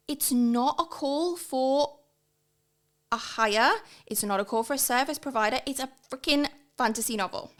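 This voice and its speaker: A woman in her thirties with an English accent, giving an energetic, emphatic podcast talk as a guest consultant. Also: fairly high pitch. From England. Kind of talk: sponsored podcast monologue.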